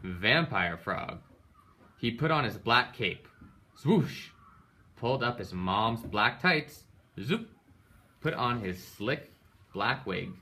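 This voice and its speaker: A man's voice: mid-range voice, expressive, takes it slowly, long pauses, sharp tone. A man reading a children's picture book aloud in a dramatic way, with emphatic sound-effect words. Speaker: storybook reader. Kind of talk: animated children's read-aloud.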